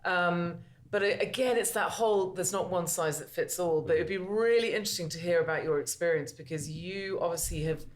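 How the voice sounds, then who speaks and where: A woman co-hosting a podcast, encouraging and inquisitive as she asks about dyslexia. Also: lowish voice. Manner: encouraging, inquisitive, quick questions. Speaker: co-host and dyslexia teacher. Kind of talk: casual podcast interview.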